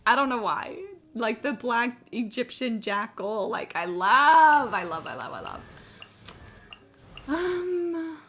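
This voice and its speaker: A woman's voice: fairly high pitch, very animated, slow pace, a bit bright. A woman chatting casually on a gaming livestream in a rambling, sweary, self-deprecating way. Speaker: live game streamer. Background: game music.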